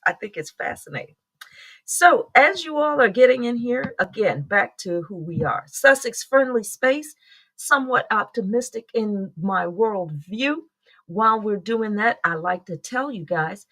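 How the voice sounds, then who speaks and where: A woman's voice: medium pitch, a bit bright, poor audio. A middle-aged woman talks her way through a casual morning livestream in a rambling, chatty manner. She greets viewers by name and breaks into laughter often. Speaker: livestream host.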